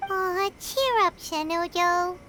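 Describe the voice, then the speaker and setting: A woman voicing a small, childlike fairy companion in an anime-style game, squeaky, blunt and cheeky. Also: slow pace, slight background noise, full character voice. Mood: cheerful. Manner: blunt, teasing, expressive.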